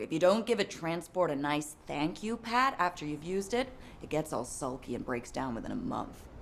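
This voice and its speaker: An actress playing an excitable, curious, outspoken young woman engineer, chatty and exuberant, in a video game. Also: low voice, a little hiss or hum. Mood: teasing, enthusiastic. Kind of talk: video game cutscene acting.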